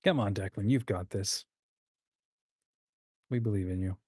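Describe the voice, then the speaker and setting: A man's voice: lowish voice, unhurried, a little mellow, breathy edge, no room sound, clean studio sound. A man hosting a livestream in a casual, informal and encouraging chatty way. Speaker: host of a writing community livestream.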